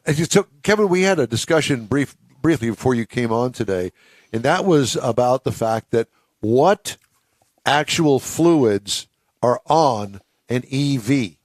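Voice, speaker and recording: A man's voice: medium pitch, lively intonation, measured pace, no room sound. Folksy man hosting a radio car show. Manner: folksy, joking, stumbles over long lists. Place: radio studio.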